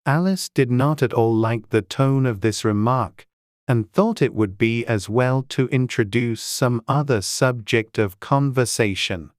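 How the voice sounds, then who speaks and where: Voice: mid-range voice, measured pace, no room sound, studio quality. An audiobook narrator reading a dramatized storybook.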